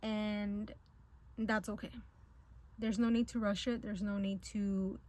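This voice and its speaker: A woman who is not a native English speaker gives a casual tarot reading in a gentle, reassuring and hesitant way, with many fillers. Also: mid-range voice, flat delivery, slow, dry recording. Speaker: tarot reader.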